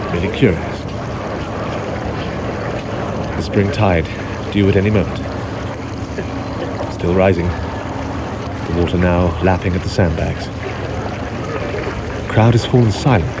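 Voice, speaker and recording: A man's voice: low voice, lively intonation, measured pace, pauses often. A man with a British accent playing a dry, steadfast radio reporter in a radio drama. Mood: grave, measured. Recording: loud outdoor city and water noise behind.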